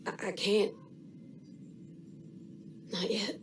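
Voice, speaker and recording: A woman's voice: medium pitch, steady tone, extremely slow, a little hiss or hum. Folksy Southern American plucky woman fighter. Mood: hurt, guarded. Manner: folksy, plain-spoken. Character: plucky, guarded young female fighter, plain-spoken and loyal. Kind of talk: video game voice acting. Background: music.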